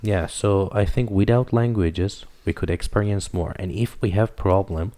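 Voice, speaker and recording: Deep, mellow-voiced man, native Farsi speaker. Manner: reflective, thinks aloud with many "uh" pauses. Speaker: podcast co-host. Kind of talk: casual podcast conversation.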